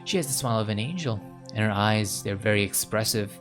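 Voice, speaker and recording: A man's voice: lowish voice, quick pace, music in the background, close to an everyday voice. A man voicing a smitten, earnest male visual novel protagonist with florid, sentimental inner narration. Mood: yearning.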